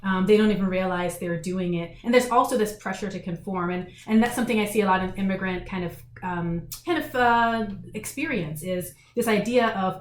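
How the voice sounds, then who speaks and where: A woman hosting a radio discussion, speaking casually and reflectively as she thinks her points through. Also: mid-range voice. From immigrant to the United States. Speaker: radio show host. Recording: roomy echo, faint background noise.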